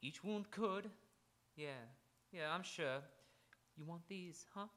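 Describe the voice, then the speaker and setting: Man acting a high-pitched, morbid morgue doctor. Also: a bit bright. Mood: morbid, intense. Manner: dramatic, halting, ominous phrasing. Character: morbid, nervy police medical examiner in a morgue. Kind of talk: theatrical old-time radio noir acting.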